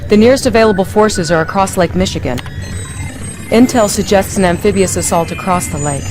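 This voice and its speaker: An actress playing a young American military intelligence lieutenant, giving formal, measured briefings in a crisp manner for a video game. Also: female voice, mid-range voice, music in the background, natural voice. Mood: businesslike.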